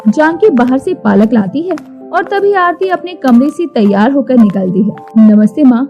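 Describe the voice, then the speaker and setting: A woman narrates a scripted moral story in a high voice. Clear music runs behind her storytelling. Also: big pitch swings, measured pace, darkish tone, muffled recording.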